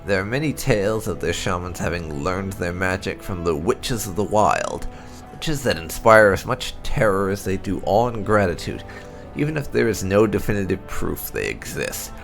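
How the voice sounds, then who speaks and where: Guy reading game lore like a storybook, gravelly fry in the voice, measured, music playing behind. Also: low-pitched, lively intonation. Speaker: gaming channel host reading game lore aloud. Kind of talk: scripted lore narration, read aloud.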